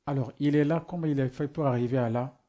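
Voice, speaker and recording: Mellow-voiced preacher, non-native speaker. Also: male voice, mid-range voice, fairly even intonation, quick pace. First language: not French. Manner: searching for words, frequent restarts, rhetorical questions. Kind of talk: sermon.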